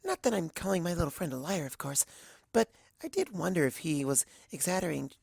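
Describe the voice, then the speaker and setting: A woman voicing a timid, sweet young cartoon pony, soft and hesitant, with an apologetic manner. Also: lowish voice, animated, brisk, vocal fry, breathy edge, dry recording, studio quality, voice pushed up, heavily acted.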